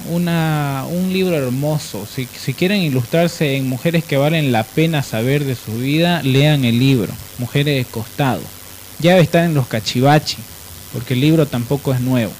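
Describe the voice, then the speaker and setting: Un hombre con acento boliviano que conduce un programa de radio hablado, contando biografías de manera informal y corrigiéndose al hablar. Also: male voice, fairly high pitch, darkish tone, low-quality recording. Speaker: radio show host. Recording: clear background hiss.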